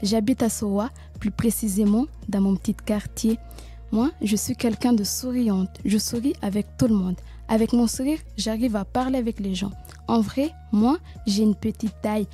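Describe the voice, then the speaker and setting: Earnest young student, dark-toned. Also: female voice, fairly high pitch, steady tone, normal speed, slightly rough, faint music behind. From Mayotte. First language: Kibushi. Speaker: student presenter. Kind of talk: school podcast presentation.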